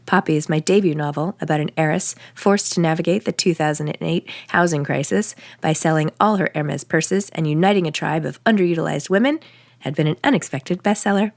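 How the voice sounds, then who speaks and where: An American woman with a low voice speaks as an author sharing her own work. The recording is very dry, and her delivery is that of casual talk and personal narration. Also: fairly quick, vocal fry, no room sound. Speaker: author and podcast host. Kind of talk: casual announcements and first-person novel reading.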